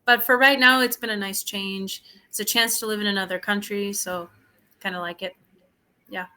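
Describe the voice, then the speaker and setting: A young woman tutoring over a video call, talking in a relaxed, hesitant way with many fillers. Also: mid-range voice, normal speed. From United States. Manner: relaxed, hesitant, lots of "like" and "I don't know". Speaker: English tutor. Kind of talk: casual conversation practice.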